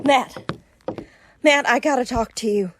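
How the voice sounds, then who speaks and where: A woman's voice: on the high side, measured pace, noisy background, natural voice. A woman playing a distressed, urgent frontier woman in a western radio drama. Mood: anxious, urgent.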